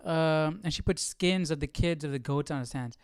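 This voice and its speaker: A man's voice: a little high, normal speed, clear, resonant tone. A man hosting a livestream with casual, colloquial reaction commentary, incredulous in manner. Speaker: livestream host.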